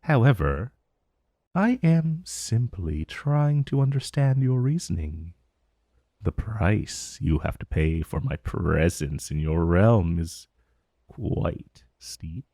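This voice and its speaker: Man voicing a slow, haughty ancient dragon. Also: low voice, expressive, warm tone, no room sound, clean studio sound, heavily acted. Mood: coolly questioning. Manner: slow, theatrical, condescending purr with mocking endearments. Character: ancient male dragon in human form, haughty, teasing, vaguely menacing. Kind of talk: fantasy ASMR roleplay audio, addressing the listener.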